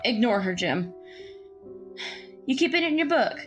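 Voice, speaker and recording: Woman playing high-voiced, teasing Victorian lodger. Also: very animated, moderate pace. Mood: teasing, friendly. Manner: familiar, blunt, slangy. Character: worldly, teasing working-class woman lodger in Victorian London. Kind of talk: period audio drama acting. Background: music.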